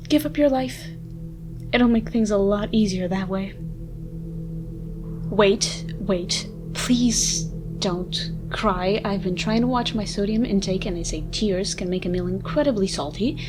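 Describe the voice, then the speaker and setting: A woman playing a haughty, sardonic ancient vampire with a soft side, speaking in wordy mock-formal lines in a dramatic ASMR roleplay. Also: medium pitch, slow pace, pauses often, close to an everyday voice. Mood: fed up. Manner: theatrical, wordy, dry mock-formal speech. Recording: music behind the voice. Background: music.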